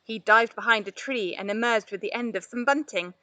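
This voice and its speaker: A woman reading a children's storybook aloud in an expressive narrating style. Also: on the high side, fairly quick, dry recording. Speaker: storybook reader.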